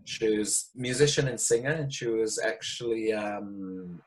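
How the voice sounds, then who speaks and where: Relaxed man. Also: fairly low pitch. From New Zealand. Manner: unhurried, with frequent ums and restarts. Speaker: interview guest. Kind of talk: casual storytelling interview.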